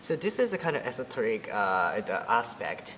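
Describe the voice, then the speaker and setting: Japanese-accented young man, halting answers. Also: mid-range voice, sing-song, a bit bright, big-room sound, a little hiss or hum. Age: 20s. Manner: halting, many fillers and restarts. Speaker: Buddhist priest. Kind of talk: interview answers.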